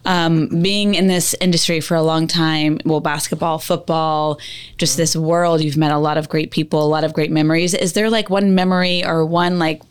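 A woman's voice: deep voice, fairly even intonation, moderate pace, smooth. A woman co-hosting a casual interview, asking chatty questions with fillers. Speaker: podcast co-host.

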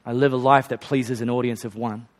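A man's voice: medium pitch, little pitch movement, a little fast. A male pastor preaching a sermon and leading an altar call in a church. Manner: earnest, leads a repeat-after-me prayer. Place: hot church auditorium.

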